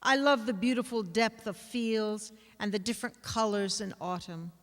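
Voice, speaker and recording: A woman giving a dramatic literary reading, with measured, expressive and deliberate phrasing. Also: mid-range voice. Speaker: actor reading aloud. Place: large indoor hall at a live reading. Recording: echoey hall with long reverb.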